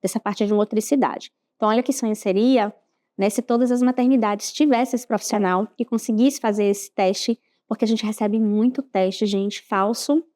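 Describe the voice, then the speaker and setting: A woman's voice: mid-range voice, steady tone, normal speed, mellow. A Brazilian woman co-hosting a podcast, chatting informally and addressing listeners directly. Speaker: podcast co-host. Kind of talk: casual chat.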